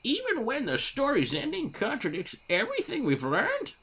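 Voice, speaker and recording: An actress playing a brisk, plain-spoken Old West townswoman with folksy speech in an audio drama. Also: high-pitched, huge pitch swings, noisy background. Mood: skeptical. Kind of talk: western comic-book audio drama acting.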